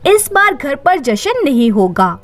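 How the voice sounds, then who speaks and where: A woman's voice: sits high, very animated, unhurried. A woman voicing a domineering, hypocritical older mother-in-law with an authoritative, manipulative manner. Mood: commanding.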